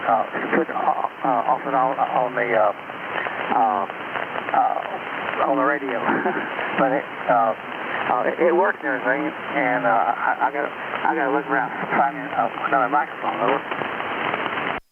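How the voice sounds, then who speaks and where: A man's voice: mid-range voice, fairly even intonation, a little slow. A man chatting casually over a radio transmission as an amateur operator, stammering and often repeating words and syllables. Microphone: makeshift old CB radio microphone. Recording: very noisy narrowband ham radio signal.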